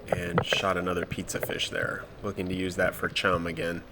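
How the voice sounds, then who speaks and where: A man with a cold and a stuffed-up nose, narrating his spearfishing dive footage in a relaxed, matter-of-fact way. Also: low voice, normal speed, very noisy. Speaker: spearfishing vlogger.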